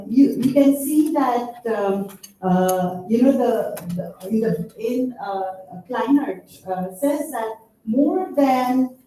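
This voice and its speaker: A woman researcher in her fifties giving a conference presentation, halting with many fillers, a loud voice. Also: fairly high pitch, slight background noise. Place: conference room. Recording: echoey hall, voice far from mic.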